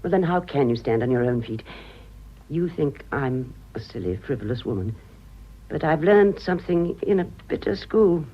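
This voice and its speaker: An older woman in a radio drama, worldly and rueful. Her voice is husky and breathy as she pleads with dramatic, halting feeling. The recording is dull and muffled. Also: sits low. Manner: emotional, hesitant, dramatic.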